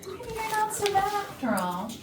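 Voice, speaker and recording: A woman's voice: very high-pitched, sing-song, moderate pace. A woman acting a flirty, catty, self-satisfied HR manager in an arch, sarcastic way at a comedy staged reading. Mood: flirty, coaxing. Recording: noisy roomy rehearsal, far from mic.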